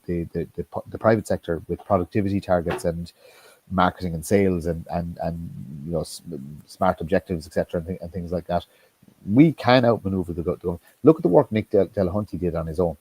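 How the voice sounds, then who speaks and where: A man talking politics in a casual discussion, halting with many restarts and fillers, blunt and sometimes swearing. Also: deep voice, mellow, husky, poor audio.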